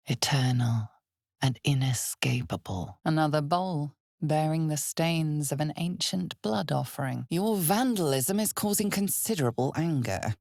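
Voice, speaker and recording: A woman playing an ominous, knowing narrator in a fantasy video game, with measured, theatrical, deliberate phrasing. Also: low voice, gravelly, dark tone, no echo, studio quality, exaggerated voice. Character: female game narrator, ominous, knowing, darkly solemn storyteller. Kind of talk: dramatic second-person fantasy narration.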